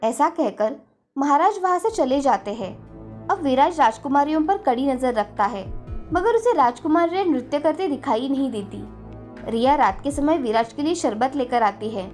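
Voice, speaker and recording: A woman's voice: sits high, expressive, normal speed, darkish tone. A woman storyteller giving scripted narration of a children's fairy tale. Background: faint background music.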